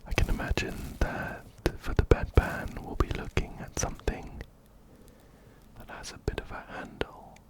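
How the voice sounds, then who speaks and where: A man whispering softly and breathily into a close binaural microphone, giving gentle ASMR game commentary and often repeating words rhythmically. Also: moderate pace. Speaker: ASMR gaming video host. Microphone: close-up binaural microphone, ear to ear.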